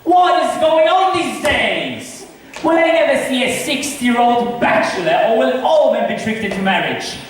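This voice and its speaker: A man's voice: extremely high pitch, sing-song, moderate pace. A man acting a witty, scornful confirmed bachelor in an amateur stage comedy, with a theatrical, declaiming manner. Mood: mocking. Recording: echoey hall, distant mic, noisy.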